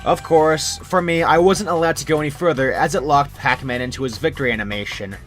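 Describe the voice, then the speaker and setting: Man narrating over soft music. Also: on the high side, brisk. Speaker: narrator, creepypasta reader. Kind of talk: scripted story narration.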